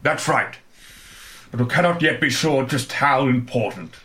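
A man's voice: mid-range voice, unhurried, sharp tone. A man playing a kindly, rambling old wizard who speaks in wordy, portentous storytelling for an amateur comic pantomime. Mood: grave.

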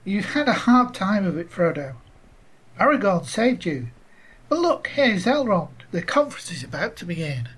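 A woman playing a weary, affectionate, fussing old hobbit with a husky voice in an amateur comic pantomime. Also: medium pitch, big pitch swings, moderate pace, poor audio.

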